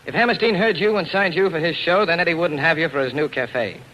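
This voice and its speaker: An actor playing a shrewd, blunt and commanding club boss in a 1940s radio drama. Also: male voice, a little high, fast talker, fairly bright tone, natural voice. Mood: cold, firm. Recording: noisy vintage 1940s radio recording.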